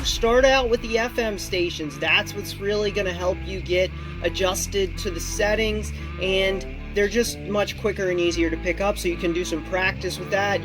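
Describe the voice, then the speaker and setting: A tutorial host talking casually and informally, giving encouraging hands-on instructions. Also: mid-range voice.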